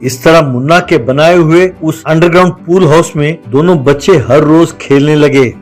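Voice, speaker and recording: A man's voice: a little high, normal speed, soft background music. A man narrating a scripted children's cartoon story as the storyteller.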